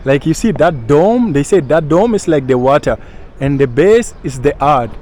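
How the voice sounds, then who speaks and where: A man's voice: fairly high pitch, expressive, normal speed, darkish tone. A young man from Sudan, vlogging casually outdoors at a temple and talking straight to his viewers. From South Sudan. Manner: chatty, keeps addressing viewers as guys. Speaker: travel vlogger. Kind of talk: casual travel vlog. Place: outdoors at a busy temple site. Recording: street noise outdoors.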